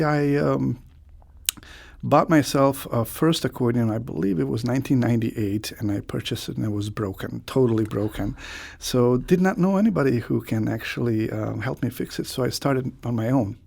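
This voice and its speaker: A man from Croatia, an accordionist, answering radio interview questions with many pauses and fillers. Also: medium pitch, creaky, a little mellow. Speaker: guest accordionist.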